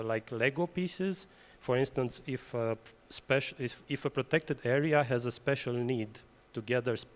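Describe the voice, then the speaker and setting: A man from Romania asks the panel a question in a medium-pitched voice. He is polite and stops to search for words. The sound carries a long reverb from a large hall. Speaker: audience member asking a question. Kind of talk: conference Q&A question. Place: conference hall.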